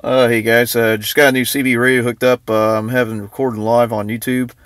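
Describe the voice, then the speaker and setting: A man's voice: mid-range voice, level tone, fairly quick, fairly bright tone, no room sound. A man giving a casual, off-the-cuff show-and-tell, hesitant and thinking aloud, recorded on a handheld camera in and around a parked car. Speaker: vlogger. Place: in a parked car and just outside it.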